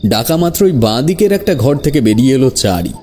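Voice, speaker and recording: A man's voice: medium pitch, big pitch swings, a little fast, a little mellow, soft background music. A man narrating a scripted horror story in the first person.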